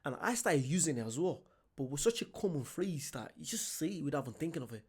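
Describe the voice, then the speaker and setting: A young man, doing an excited, chatty reaction vlog and laughing often. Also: on the high side, fast talker, slightly rough. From Ireland. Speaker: reaction vlogger. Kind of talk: casual reaction commentary.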